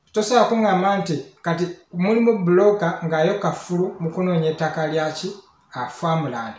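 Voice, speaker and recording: A man's voice: on the high side, darkish tone. A man in his thirties with a Ugandan accent, giving informal, explanatory tips as a property consultant, often checking in with short questions. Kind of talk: informal instructional talk, mixing two languages. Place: small indoor office with hard walls. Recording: echoey small room.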